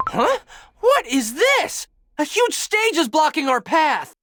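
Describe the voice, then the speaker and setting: High, lively young cookie. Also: female voice, slow pace, dry recording, heavily acted. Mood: startled. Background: loud music.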